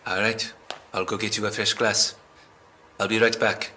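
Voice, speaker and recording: A man playing a calm, courteous police officer in an amateur audio drama, asking questions in a measured, polite way. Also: on the low side, slight background noise. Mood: sympathetic.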